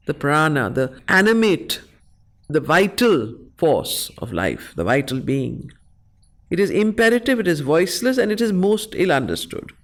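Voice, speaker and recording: A woman with an Indian accent reciting verse and explaining it as a study circle facilitator, in a deliberate, emphatic, explanatory way. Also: low voice. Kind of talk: recitation of verse with teaching commentary.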